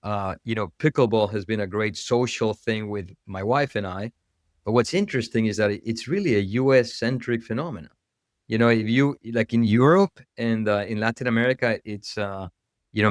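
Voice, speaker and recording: Low-voiced man hosting, dry sound. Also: moderate pace, no echo. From Ecuador. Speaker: podcast host. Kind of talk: casual interview hosting.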